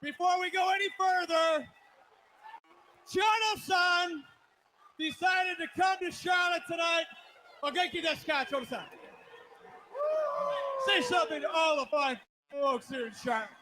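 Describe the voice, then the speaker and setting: A man with a very high, bright, sharp voice shouts a brash wrestling promo. His delivery is loud and confrontational, and a faint hiss sits underneath. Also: steady tone, measured pace, pauses often, poor audio.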